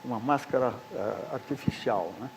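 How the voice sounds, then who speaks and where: A man's voice: mid-range voice, normal speed, a little hiss or hum, low-quality recording. A man with a Brazilian Portuguese accent, answering as a panel guest in a thoughtful, hesitant way. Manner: thoughtful, hesitant, uses fillers. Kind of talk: debate answer.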